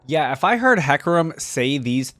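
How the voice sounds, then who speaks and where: A man's voice: on the high side, big pitch swings, normal speed, a bit bright, no echo, studio quality. A man hosting a reaction video, talking casually and thinking aloud in a loose, informal, rambling way. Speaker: YouTube reaction host. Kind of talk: casual fan reaction commentary to camera.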